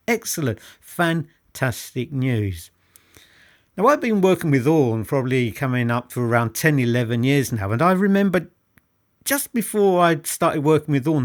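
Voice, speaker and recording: A man presents a product review in an unscripted, enthusiastic way. His mid-pitched voice rises and falls in a very animated fashion. He stresses and repeats phrases, often questioning the listener directly. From United Kingdom. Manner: emphatic, repetitive, rhetorical questions to the listener.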